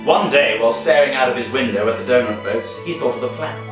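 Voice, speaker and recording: A man's voice: medium pitch, fast talker. Male storyteller, whimsical mock-formal delivery, very echoey, distant from the mic, music behind. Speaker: storybook reader. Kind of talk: scripted comic storytelling with asides.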